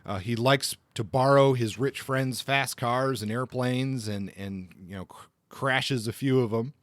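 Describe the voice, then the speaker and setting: Male podcast host, informal biographical narrator, conversational and halting, recorded dry. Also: mid-range voice, no room sound.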